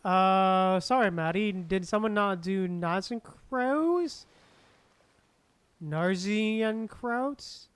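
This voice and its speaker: A man's voice: high voice, drawn-out words, smooth, no echo. A man chatting casually on a game live stream in a laid-back, slangy, giggly manner. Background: game music.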